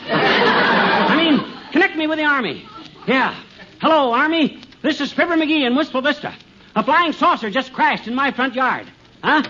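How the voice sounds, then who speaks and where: A man playing a blustering, scoffing, excitable small-town husband in an old radio comedy, with a folksy, wisecracking manner. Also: extremely high pitch, heavily acted. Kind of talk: 1950 radio comedy acting. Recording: noisy, muffled old radio recording.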